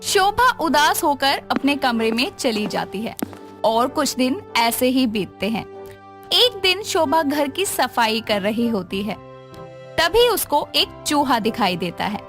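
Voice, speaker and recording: A woman narrates a scripted tale. Her voice is very high and her pace slow, with light music playing underneath. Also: sing-song, a bit bright.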